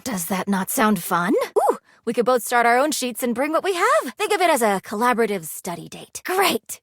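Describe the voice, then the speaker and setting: Prim, formal young game heroine, dry studio sound. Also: female voice, mid-range voice, no echo. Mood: eager, pleased. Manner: formal, precise wording with few contractions. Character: prim, earnest, thrifty young woman, living money personified, game dating character. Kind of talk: video game character dialogue.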